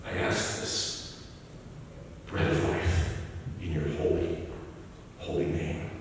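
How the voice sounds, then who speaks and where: An older man preaching a sermon with passion, then praying softly. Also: sits low, takes it slowly, creaky, background noise. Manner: impassioned preaching, rising to emphatic, then hushed prayer. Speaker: pastor. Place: church sanctuary, large room. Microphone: far room mic. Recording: echoey church from far off.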